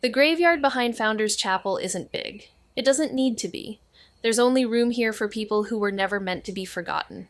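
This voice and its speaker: An actress playing a perceptive young amateur sleuth in a noir audio drama, terse and deadpan. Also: female voice, fairly high pitch, normal speed, no room sound, natural voice. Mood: measured, brooding.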